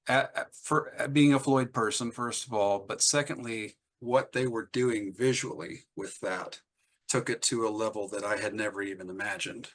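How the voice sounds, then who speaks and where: A man's voice: lowish voice, normal speed, a bit bright. A middle-aged man chatting casually as an interview guest, telling anecdotes in an enthusiastic, rambling way. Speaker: interview guest, singer-songwriter.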